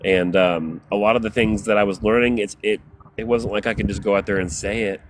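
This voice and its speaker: A man speaking as a guest on a livestream, thoughtful and halting, stopping often to rephrase. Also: lowish voice, fairly even intonation, slight background noise. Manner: thoughtful, halting, many "you know" fillers. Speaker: guest panelist. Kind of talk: reflective casual talk.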